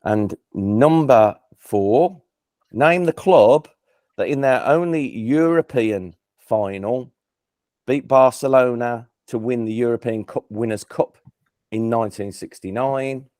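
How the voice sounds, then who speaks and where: A man's voice: medium pitch, slow, dark tone, no room sound, clean studio sound. A man with a Birmingham accent hosting a casual football quiz, chatty and self-deprecating, often stumbling and restarting his sentences. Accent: Birmingham English. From England. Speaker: quiz host.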